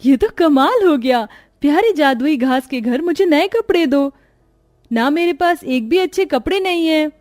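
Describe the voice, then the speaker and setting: A woman's voice: high-pitched, expressive, measured pace, a little mellow, soft background music, voice pushed up. A woman voicing a caring, protective orphan girl, an eldest sister in a cartoon story. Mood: amazed, eager.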